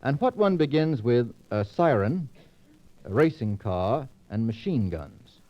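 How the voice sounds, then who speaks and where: A man's voice: medium pitch, sing-song, unhurried. A man moderating a 1940s radio panel quiz in a precise, wordy, teasing manner. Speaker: quiz show host. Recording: muffled 1940s radio transcription disc.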